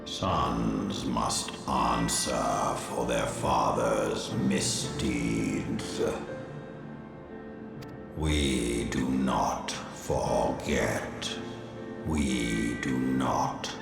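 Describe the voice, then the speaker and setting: An actor voicing an ancient, colossal dragon in a video game, proud and menacing, with a booming gravelly voice and archaic, imperious speech. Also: male voice, fairly even intonation, drawn-out words, full character voice. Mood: menacing, vengeful. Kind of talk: theatrical video game voice acting. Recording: cavernous echo, distant, loud music.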